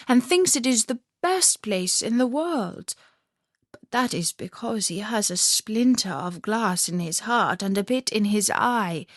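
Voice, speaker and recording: Slow, earnest wise woman acted by a woman storyteller. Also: mid-range voice, dry recording, studio quality. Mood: grave. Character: wise, frank Finland wise woman in a fairy tale.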